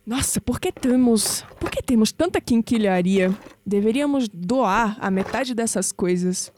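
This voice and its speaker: An actress playing a curious, headstrong young granddaughter in an audio drama, expressive and with a Brazilian accent. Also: female voice, on the high side, measured pace, slight background noise. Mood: curious, musing. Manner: expressive, thinking aloud.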